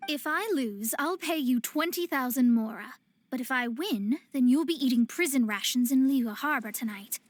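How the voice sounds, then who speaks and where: A woman's voice: sits high, expressive, moderate pace, no room sound. An actress playing a proud young female astrologist in a video game, speaking in lofty, formal, theatrical phrases. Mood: stern, challenging. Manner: formal, archaic, lofty diction. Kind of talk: anime-style video game voice acting.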